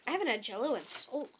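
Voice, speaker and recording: Giggly, high-voiced woman hosting. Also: fairly bright tone, a little hiss or hum. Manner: giggly, playful. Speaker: podcast host and cast member. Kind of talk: casual, jokey group chat.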